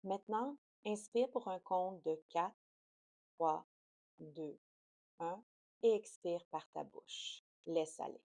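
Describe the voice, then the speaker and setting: Mellow, low-voiced woman guiding relaxation. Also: no echo. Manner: soothing, slow, unhurried instruction. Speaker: yoga nidra guide. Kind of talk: guided relaxation meditation.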